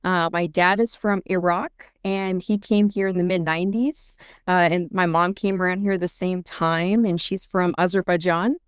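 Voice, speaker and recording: Chatty young woman, smooth dry voice. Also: on the low side, fairly even intonation, normal speed, no echo. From Seattle, US. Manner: chatty, many ums and restarts. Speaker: guest, intake director at a law firm. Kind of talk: casual interview answers.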